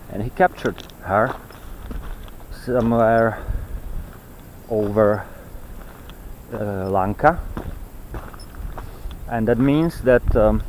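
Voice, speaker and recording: A man giving an unscripted, rambling monologue while walking outdoors, halting and fragmented as he searches for words. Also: fairly low pitch, a little mellow, a little hiss or hum, rough recording. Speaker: vlogger. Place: outdoors on a walk in nature.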